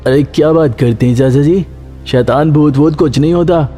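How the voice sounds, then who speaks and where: Man as a high-voiced young village doctor. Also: moderate pace, mellow, big-room sound, soft background music, close to an everyday voice. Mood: amused, dismissive. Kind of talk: cartoon story acting.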